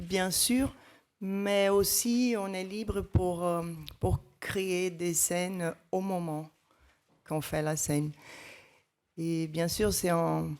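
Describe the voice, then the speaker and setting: A woman's voice: fairly low pitch, darkish tone, clean tone. A woman, an actress, answering at a press conference, hesitant and repeating words as she searches for them.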